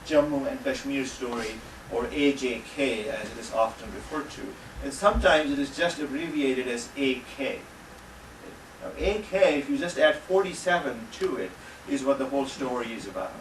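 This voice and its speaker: A middle-aged man from Pakistan, speaking as a panelist at an academic event in a measured way, with frequent pauses and dry asides. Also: medium pitch, a bit bright, distant mic. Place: lecture hall. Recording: echoey hall, speaker off-mic, faint room noise.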